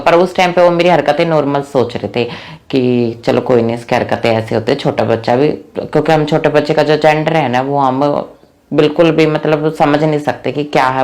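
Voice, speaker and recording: A woman's voice: a bass voice, darkish tone. Woman, young adult, earnest, plain-spoken, personal storytelling. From Rohtak, Haryana, India. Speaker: interviewee. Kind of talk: personal life story told to camera.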